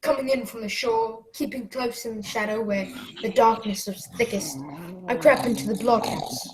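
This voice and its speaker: A woman's voice: fairly high pitch, fairly even intonation, moderate pace, crowd noise, natural voice, rough recording. A woman in a radio play acting an earnest, plucky boy who narrates his own adventure. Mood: earnest storytelling.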